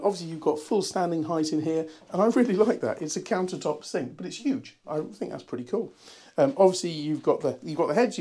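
A man, a bit hoarse from a cold, chatting through a walkthrough tour of a barge. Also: a little high, mellow. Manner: chatty and upbeat, many ums. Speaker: boat broker. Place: inside a barge, small cabin rooms.

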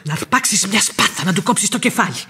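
An actress playing a tough, fiercely loyal old nursemaid in a radio play, earthy, outspoken and emphatic, with a husky voice. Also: female voice, medium pitch, expressive, sharp tone, heavily acted. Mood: bitter, vengeful. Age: older.

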